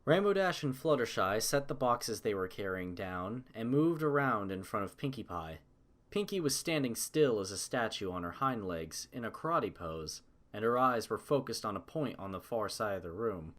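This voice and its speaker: A man's voice: lowish voice, big pitch swings, normal speed, fairly bright tone. A man narrating a scripted story as its narrator.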